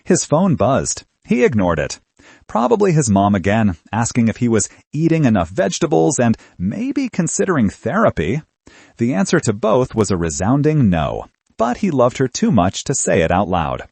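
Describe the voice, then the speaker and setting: A man reading a scripted novel aloud in a wry, animated narrator's style. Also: mid-range voice, moderate pace, creaky, breathy edge, no echo. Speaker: audiobook narrator.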